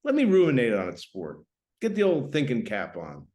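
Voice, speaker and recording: A man's voice: mid-range voice, wildly swooping pitch, normal speed, warm tone. A man voicing a brash, slangy, cigar-chewing 1940s American airman in a novel reading. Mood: breezy, brash.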